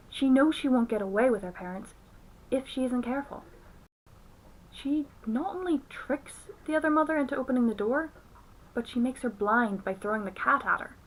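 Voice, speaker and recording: A young woman narrates a film review from a script. Her voice sits a little higher than most women's, and she speaks as the reviewer presenting commentary. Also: poor audio.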